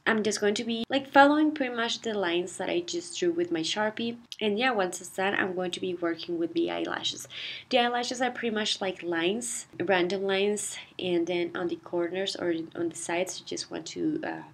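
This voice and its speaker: A woman host narrating a do-it-yourself craft tutorial in a chatty, relaxed way, her voice a little off from a cold, recorded on a different mic than usual. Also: fairly low pitch, moderate pace. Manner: chatty, relaxed, lots of "like" and "you know". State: recovering from a cold. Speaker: DIY craft channel host. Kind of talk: casual voice-over tutorial. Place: voice-over, not recorded on camera. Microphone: not her usual voice-over mic.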